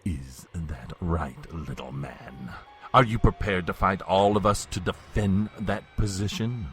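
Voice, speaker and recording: A man's voice: low voice, unhurried, a bit bright. An actor playing a suave, menacing vampire lord in an audio drama, mock-polite and theatrical, drawing out his taunts.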